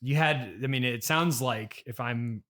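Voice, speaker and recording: A man's voice: medium pitch, a bit bright, close to an everyday voice. Male podcast interviewer, played by an actor, bewildered and polite, hesitant conversational delivery, comedy mock interview. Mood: bewildered, probing. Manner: hesitant, halting, politely skeptical. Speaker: podcast host interviewing guests. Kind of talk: improvised mock interview, casual chat.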